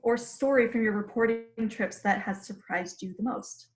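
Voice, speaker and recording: A woman's voice: a little high, moderate pace, reverberant. A woman hosting an interview, asking questions in a friendly, easy way. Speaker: interview host. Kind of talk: conversational interviewing.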